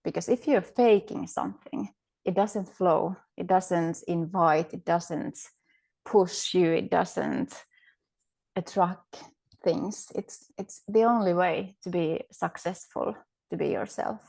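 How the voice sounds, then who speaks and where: Finnish-accented woman guest, lower-pitched, unhurried, searching for words. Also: creaky, darkish tone, slightly rough. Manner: searching for words, many fillers and restarts. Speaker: podcast guest, astrologer. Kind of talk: reflective interview conversation.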